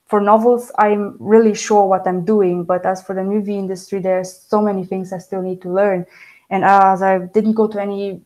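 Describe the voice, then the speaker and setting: A woman with Romanian as her first language who grew up in Germany, speaking English in an interview as a novelist, talking haltingly with frequent restarts and self-corrections. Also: mid-range voice, a little mellow, rough recording. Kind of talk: casual interview talk.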